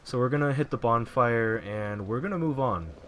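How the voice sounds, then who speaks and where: A man quietly narrating his video game playthrough in a low voice, rambling casually with fillers. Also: moderate pace, a little hiss or hum. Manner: relaxed, rambling, frequent fillers. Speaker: let's play gamer. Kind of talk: casual gameplay commentary.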